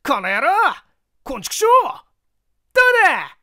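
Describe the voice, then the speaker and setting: A reader voicing a brash young tough henchman who shouts and boasts roughly in an audiobook story. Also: sits high, wildly swooping pitch, measured pace, voice pushed up, exaggerated voice. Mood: defiant, boastful.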